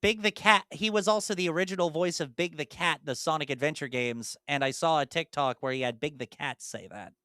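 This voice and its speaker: A woman's voice: low voice, a little fast, few pauses, a bit bright, no echo, studio quality. A woman co-hosting a casual podcast, thinking aloud, repeating clues and poking fun at herself as she guesses. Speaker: podcast co-host. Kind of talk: casual chat.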